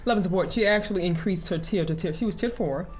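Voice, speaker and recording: Low-pitched woman, effusive reaction host. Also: fast, soft background music. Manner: effusive, repeats words for emphasis. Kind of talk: casual reaction chat.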